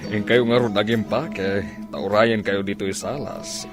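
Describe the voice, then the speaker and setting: A man's voice: fairly low pitch, steady tone, a little slow, music in the background. A man with an Ilocano accent playing a courteous, generous older businessman in a radio comedy drama, speaking politely and a little hesitantly. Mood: obliging. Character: courteous, generous older businessman befriending two college girls.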